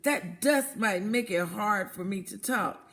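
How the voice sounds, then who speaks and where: A woman's voice: mid-range voice, measured pace, a bit bright. A woman voicing a vain, idle goose in a children's storybook, with an affected, excuse-making manner. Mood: haughty refusal.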